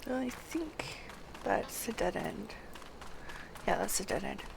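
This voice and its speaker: A woman's voice: fairly high pitch, animated, takes it slowly, vocal fry, noisy background. A woman game streamer thinking aloud casually as she plays and reading on-screen text out to viewers. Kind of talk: live playthrough commentary and reading quest text aloud.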